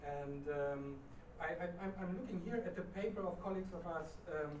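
A man in the audience asking the presenters a question, in a halting way with many restarts. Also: on the high side. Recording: roomy, distant, noisy hall mic.

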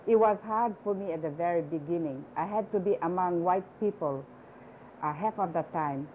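A woman's voice: fairly low pitch, moderate pace, phone-quality sound, a little hiss or hum. An older woman from Hawaii, chatting in an interview and peppering her talk with "you know" and "you see.". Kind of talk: casual conversation.

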